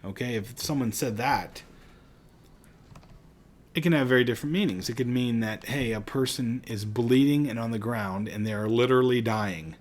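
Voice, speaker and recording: A man's voice: medium pitch, normal speed. A man from Pittsburgh with a Pittsburgh accent, teaching a recorded lecture in a chatty, conversational way with casual asides.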